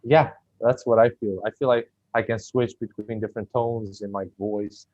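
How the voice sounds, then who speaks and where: Hesitant comedian, dry lo-fi line. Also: male voice, fairly low pitch, steady tone, darkish tone, no echo. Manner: hesitant, halting phrasing with many "like"s. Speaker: comedian guest. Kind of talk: casual chat on video call. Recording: dry, dull lo-fi call audio.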